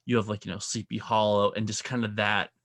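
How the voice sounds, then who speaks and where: A man from New England hosting a podcast, animated and playful in casual conversation. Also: fairly low pitch, fairly quick, no room sound, clean studio sound. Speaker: podcast host.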